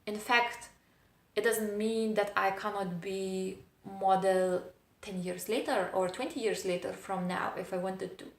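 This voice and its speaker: A woman's voice: mid-range voice, fairly even intonation. Woman with foreign accent, roomy echo. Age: 30s. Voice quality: non-native English speaker with a noticeable foreign accent. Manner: thoughtful, unhurried, with frequent pauses and fillers. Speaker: vlogger. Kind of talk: reflective personal monologue.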